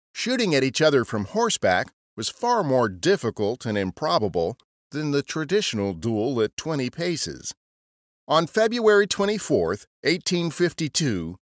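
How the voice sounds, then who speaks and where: A man's voice: medium pitch, dry recording, studio quality. A man narrating scripted history as a podcast storyteller. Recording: guitar music under the voice. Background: guitar music.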